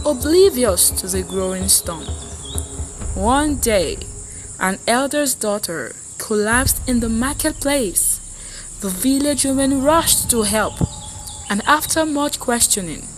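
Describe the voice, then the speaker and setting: A woman with a fairly high voice narrates a dramatic folktale from a script. She speaks very slowly, phrasing each part deliberately and solemnly. Music is clearly audible behind her narration. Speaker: storyteller, narrator.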